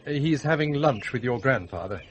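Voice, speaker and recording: A man's voice: mid-range voice, expressive, normal speed, muffled recording, slight background noise, lightly acted. An actor playing an ardent, resentful male lover in a radio play, with an earnest, intimate manner. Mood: awkward. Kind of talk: British radio play acting.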